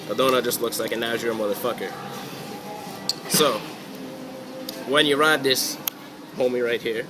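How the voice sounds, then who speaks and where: A man walking through his card game deck in a relaxed, offhand way, swearing now and then and saying he is sick and losing his voice. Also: medium pitch, rough recording. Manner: laid-back, swears casually, trails off with fillers. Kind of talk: casual deck walkthrough. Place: hobby store.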